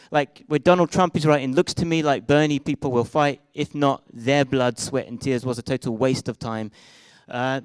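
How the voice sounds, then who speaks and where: Man with a British accent, smooth clear voice. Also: medium pitch, dry recording. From Britain and Israel. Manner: casual, hesitant, many fillers and restarts. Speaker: conference speaker. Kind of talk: live meetup presentation. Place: meetup room with projected slides. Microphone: handheld microphone.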